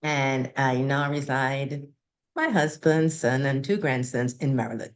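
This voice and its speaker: An older woman from Trinidad and Tobago with a Trinidadian accent, speaking as an interview guest in an unhurried, measured way with soft laughs. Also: very deep voice. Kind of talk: interview conversation.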